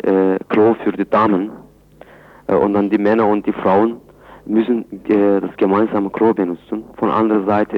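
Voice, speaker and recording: Guy being interviewed, low fairly even voice, halting speech, dull radio sound. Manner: halting, non-native phrasing. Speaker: interviewee, chairman of a Kurdish community centre.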